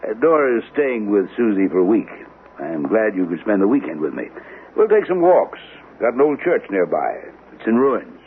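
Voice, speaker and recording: A man's voice: fairly low pitch, sing-song, moderate pace. An actor playing a genial, practical grandfather in a vintage radio drama, speaking in a casual, avuncular way. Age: older. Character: genial, practical grandfather, suburban weekend host. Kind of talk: 1970s radio drama acting. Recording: dull lo-fi radio, faint noise.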